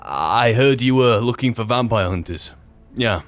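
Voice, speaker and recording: Wry man roleplaying, mid-pitched voice. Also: expressive, unhurried. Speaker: gamer, roleplay storyteller. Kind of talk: in-character game roleplay commentary. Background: faint game music.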